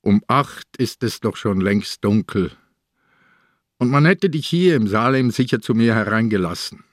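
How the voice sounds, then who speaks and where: Old swiss police inspector in a radio play, lowish voice, close dry sound, grumbly and shrewd. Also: male voice, lively intonation, measured pace, no echo. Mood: grumbling, chiding. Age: older. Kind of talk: German radio crime drama acting.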